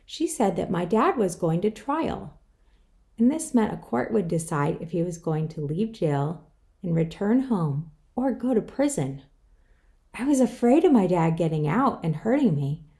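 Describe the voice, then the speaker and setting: Woman, children's book read-aloud, mellow dark tone, creaky edge, scripted narration. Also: lowish voice. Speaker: author reading own book aloud.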